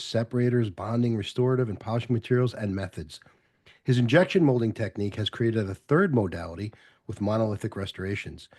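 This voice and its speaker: Male podcast host, medium pitch. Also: a little mellow. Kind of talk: conversational interview introduction.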